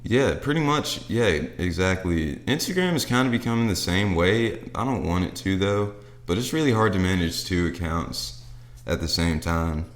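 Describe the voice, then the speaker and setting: Young male co-host, easygoing casual chat, deep low voice. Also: expressive, normal speed. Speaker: podcast co-host.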